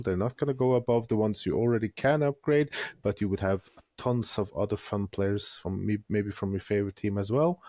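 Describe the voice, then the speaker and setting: A man's voice: mid-range voice, dark tone, dry recording. A man with a mid-range voice co-hosts a gaming podcast. He searches for his words and restarts often as he gives critical opinions. The sound is dry and close to the mic. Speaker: podcast co-host. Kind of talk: casual gaming podcast chat.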